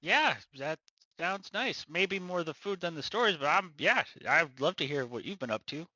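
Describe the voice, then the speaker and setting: A man playing in a tabletop game, speaking in character in an emotional, halting way. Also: on the high side, animated, pushed and bright, no echo. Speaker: player. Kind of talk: in-character roleplay. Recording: dull lo-fi mic.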